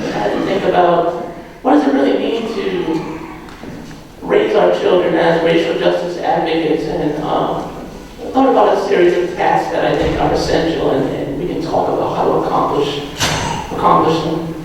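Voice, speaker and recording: A man in his thirties from Providence, slightly hoarse, giving a joking, self-deprecating public lecture full of fillers. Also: a little high, a little hiss or hum. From Providence, Rhode Island. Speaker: guest speaker. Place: large hall or auditorium. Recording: echoey hall, mic from far off.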